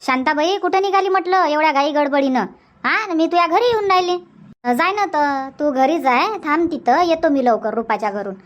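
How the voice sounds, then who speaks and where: Woman voicing a high-pitched folksy village auntie. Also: animated, normal speed. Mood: nosy, concerned. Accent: Varhadi Marathi from Vidarbha. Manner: folksy, inquisitive, reassuring. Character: nosy, reassuring village auntie, a grandmother. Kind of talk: cartoon comedy dubbing.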